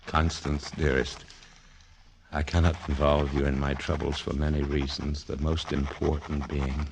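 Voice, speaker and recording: An actor playing a troubled amnesiac who believes himself a murderer, speaking haltingly in an old radio drama style. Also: male voice, extremely low pitch, level tone, gravelly, slight background noise, heavily acted. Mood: sorrowful resignation. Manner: halting, haunted, swelling into anguish. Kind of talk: 1940s radio drama acting.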